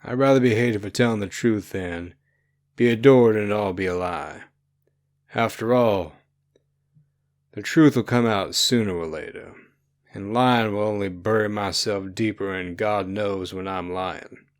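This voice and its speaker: A man's voice: fairly low pitch, unhurried. A man voicing a defiant, irreverent rebel in a fantasy story, blunt, emphatic and sardonic. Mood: frank, resolute. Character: defiant, irreverent, plain-spoken male rebel subject. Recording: music bed behind the voice.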